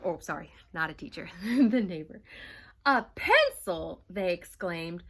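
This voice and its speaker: Animated, slow-paced woman teacher reading aloud. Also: fairly low pitch. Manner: animated storybook reading with teacherly questions to the class. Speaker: first grade teacher. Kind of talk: reading a picture book aloud to young children.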